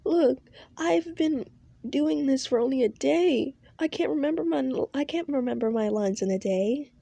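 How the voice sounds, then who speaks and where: A frightened young woman on her first day who pleads shakily, played by the narrator. Her voice sits high with a husky edge in this fan audio story. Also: steady tone, moderate pace, mellow, no room sound, heavily acted. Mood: upset. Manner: shaky, pleading.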